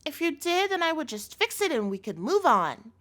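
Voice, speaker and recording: A woman's voice: high voice, very animated, normal speed, a bit bright. A woman playing an obsessive chef girlfriend in a scripted audio roleplay, sugary and coaxing with a threatening edge, speaking intimately to the listener. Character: obsessive yandere chef girlfriend, sweet but menacing.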